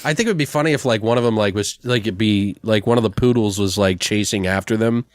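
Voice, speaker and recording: A man co-hosting a podcast, casually brainstorming a comedic horror story in a crude, animated, sweary way. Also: lowish voice, fast talker, no room sound, studio quality. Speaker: podcast co-host.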